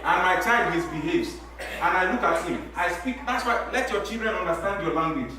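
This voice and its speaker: A middle-aged Nigerian man whose first language is Yoruba, speaking on a church talk-show panel. He talks in an animated way, repeats his words and laughs while he makes his points. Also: sits high, moderate pace. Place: church hall. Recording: very echoey hall, mic from a distance.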